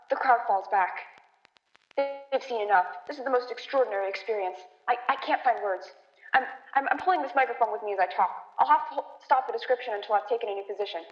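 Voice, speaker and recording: Echoey, high-voiced, rattled radio field reporter played by a woman. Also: natural voice. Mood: horrified fascination. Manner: breathless, halting, repeating words in alarm. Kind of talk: dramatic 1930s-style radio play eyewitness commentary. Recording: dull, echoey stage recording.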